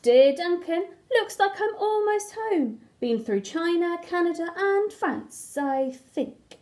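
A woman's voice: extremely high pitch, animated, slow pace, darkish tone, exaggerated voice. A woman voicing a cheerful, clueless globe-trotting red crayon from a storybook, breezily unsure of itself. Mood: breezy, clueless.

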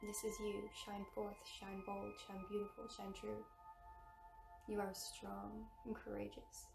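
A woman leading a guided meditation in gentle, measured short phrases. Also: medium pitch, monotone, creaky. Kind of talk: guided meditation, slow and soothing. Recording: roomy, distant mic under loud music. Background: loud meditation music with singing bowls.

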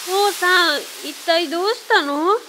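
A woman's voice: a little slow, pushed and bright, slight background noise, full character voice. A woman voicing a young boy in a radio ghost drama, curious and easily frightened. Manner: high childlike voice. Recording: music bed under the voice.

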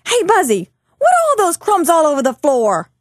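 A woman's voice: high-pitched, huge pitch swings, moderate pace, no echo, studio quality. A woman voicing a perky, bossy little girl puppet, speaking singsong to children in a puppet show. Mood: concerned, scolding. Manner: singsong, addressing children directly.

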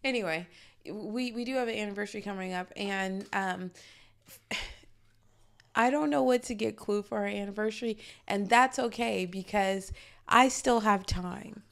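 A woman's voice: mid-range voice, unhurried, creaky. A woman co-hosting a podcast with a slightly nasal voice, teasing dryly and laughing often. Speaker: podcast co-host. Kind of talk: casual podcast banter.

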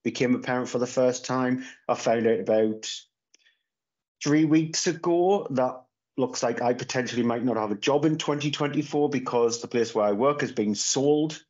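A man's voice: medium pitch, moderate pace, a little mellow. A man, chatting casually on a podcast and rambling through restarts and fillers, recorded from his phone on a video call at home. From Northeast England. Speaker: podcast co-host. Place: small room at home, indoors. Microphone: phone camera on a video call. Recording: slightly dull lo-fi call audio.

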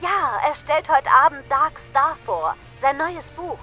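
An actress playing an excitable young female horror fan in an audio drama, with a teasing, enthusiastic manner. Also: high voice, slow pace, soft background music, full character voice. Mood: excited. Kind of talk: radio play dialogue.

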